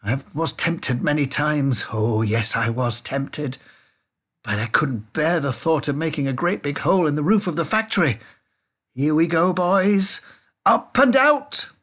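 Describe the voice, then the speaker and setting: A man voicing an excitable, eccentric gentleman factory owner in a storybook reading, gushing and repeating his exclamations. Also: a little high, normal speed, slightly rough, voice pushed up. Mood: eager, excited.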